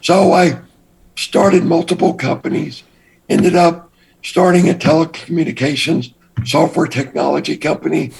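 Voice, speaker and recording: Older man with a very raspy, gravelly voice. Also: fairly high pitch, darkish tone, low-quality recording. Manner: measured, deliberate storytelling. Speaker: interview guest. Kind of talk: recounting his past in an interview.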